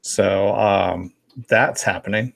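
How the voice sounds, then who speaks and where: A man's voice: fairly low pitch, steady tone, takes it slowly, creaky, no echo. A man co-hosting a live podcast in casual, conversational chat. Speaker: podcast co-host.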